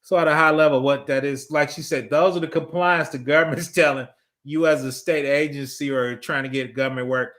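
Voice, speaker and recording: A man's voice: fairly high pitch, fairly even intonation, a little fast, a bit bright. Laid-back man in his fifties, laughing often. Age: 50s. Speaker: livestream host reading super chats. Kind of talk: casual livestream chat.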